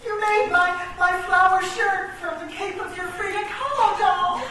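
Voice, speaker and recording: Woman acting high-voiced theatrical chess queen, echoey stage. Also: steady tone, moderate pace, pushed and bright. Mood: excited. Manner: theatrical, exclamatory. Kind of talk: live radio play acting. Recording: echoey noisy stage, far from mic.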